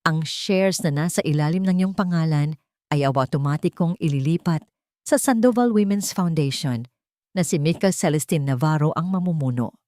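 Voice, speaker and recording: A woman's voice: low-pitched, moderate pace, dark tone, no room sound, studio quality, lightly acted. Stern Filipino board chairman read by a woman. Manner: firm, formal, accusing.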